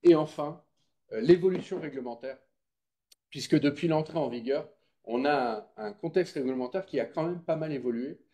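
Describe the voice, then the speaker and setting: A man with a soft-edged, mellow voice presents at a public meeting. He explains in an informal, didactic style, talking to his listeners directly and checking that they follow. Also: on the high side, normal speed.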